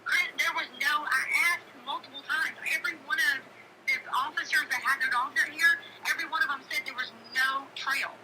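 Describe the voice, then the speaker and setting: A woman's voice: sits high, fairly even intonation, fairly quick. A middle-aged woman talking casually on a phone call, insistent and emphatic, repeating phrases for stress. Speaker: local neighbor caller. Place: telephone call. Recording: noisy phone call recording.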